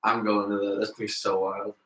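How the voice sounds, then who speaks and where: A man of about twenty, co-hosting a casual podcast chat, rambling and laughing often as he gets excited. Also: fairly low pitch, quick pace, heavy background noise. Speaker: podcast co-host. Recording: roomy echo.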